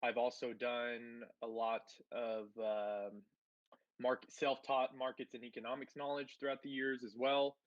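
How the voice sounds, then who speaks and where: A man's voice: mid-range voice, little pitch movement, unhurried, no echo, dull audio. A man raised in Texas and born in Spain, a guest talking casually on a livestream, rambling with many fillers and restarts. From born in Spain, grew up in Texas. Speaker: guest, tech entrepreneur.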